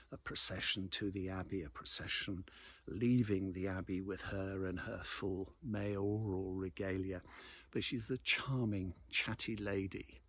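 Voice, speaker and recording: A man chatting casually and hesitantly in an unhurried, rambling way, easily sidetracked, speaking outdoors into a phone. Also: deep voice, husky. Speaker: vlog host and local magazine columnist. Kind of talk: rambling casual morning chat to camera. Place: outdoors in the countryside above a valley.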